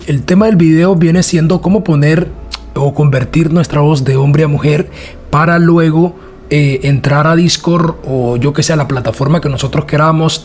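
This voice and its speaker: Higher-voiced man, casual tutorial, background noise. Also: a little mellow. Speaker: tutorial host. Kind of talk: casual software tutorial voice-over.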